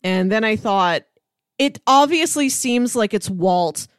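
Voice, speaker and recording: Woman chatting on a podcast, animated storyteller, mid-pitched, laughs through her words, really dry sound. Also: slow, clear, resonant tone, no room sound. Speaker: podcast co-host. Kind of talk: casual podcast chat.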